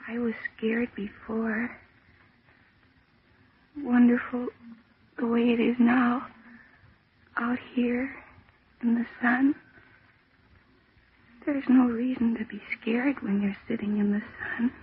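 An actress in an old-time radio drama playing a dazed woman retreating into a serene delusion, speaking in a dreamy, halting, childlike way. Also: fairly high pitch, level tone, husky, exaggerated voice. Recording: muffled, tinny old radio recording.

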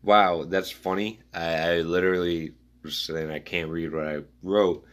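A man musing aloud in a rambling spoken-word style, reading his own notes in halting fragments. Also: sits low, fairly even intonation, fairly bright tone.

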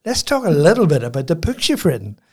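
A man hosting a podcast interview, chatty and quick to agree with his guest. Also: on the high side, very animated, husky. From not Australian, moved to Australia. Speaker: podcast host. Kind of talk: casual interview.

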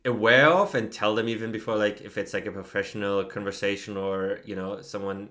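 Low-voiced man chatting casually. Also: brisk. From Germany. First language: German. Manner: relaxed, peppers speech with "you know" and "like". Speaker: podcast co-host. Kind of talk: casual conversational podcast.

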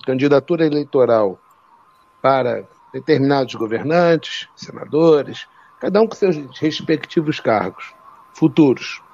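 A man, a podcast co-host who speaks slowly and searches for his words. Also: medium pitch, darkish tone, highs cut off. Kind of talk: casual podcast chat.

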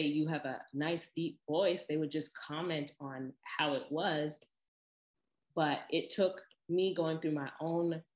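Deep-voiced young woman, chatty and echoey. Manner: chatty, laughing, expressive asides. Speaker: vlogger. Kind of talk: casual personal storytelling to camera.

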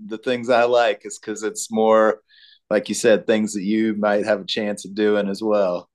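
A man from Colorado talks about his music as a guest, relaxed and unhurried. His speech often stalls on ums and restarts. His voice sits fairly low. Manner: laid-back, halting, many ums. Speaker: guest singer-songwriter. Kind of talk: casual interview chat.

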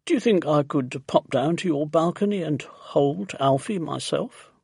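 Narrator as a mild, courteous gentleman, husky. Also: mid-range voice, unhurried, dry recording, clean studio sound. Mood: hesitant, hopeful. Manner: polite, reassuring.

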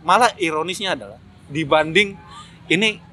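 Emphatic man with a bright voice. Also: fairly high pitch, normal speed. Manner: emphatic, critical, repeats phrases for emphasis. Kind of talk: casual opinionated discussion. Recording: noticeable background noise.

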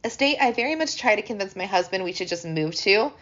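Woman podcast host in her thirties, hoarse from a cold, chatty and upbeat, mid pitch, slightly muffled home recording. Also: fast. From American Midwest. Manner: chatty, animated, laughs easily. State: hoarse from a sinus infection. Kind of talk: casual chat.

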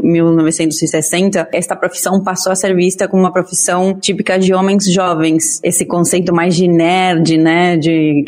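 A woman with an Argentine accent and Spanish as her native language speaks in a fairly low voice. Her intonation stays very even. She explains points in a measured way, as a guest in a panel discussion.

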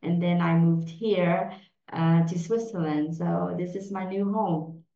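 A woman's voice: on the low side, steady tone, measured pace, dark tone. A woman in her forties from Vietnam, a native Vietnamese speaker, answering interview questions hesitantly with many fillers and restarts. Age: 40s. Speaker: podcast guest. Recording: roomy, dull lo-fi sound.

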